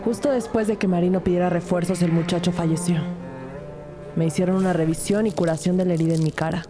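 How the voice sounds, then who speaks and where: A woman's voice: fairly low pitch, fairly even intonation, fast, natural voice. An actress playing an earnest, determined female police investigator who narrates a crime audio drama in the first person. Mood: sober narration. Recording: studio drama under loud music bed.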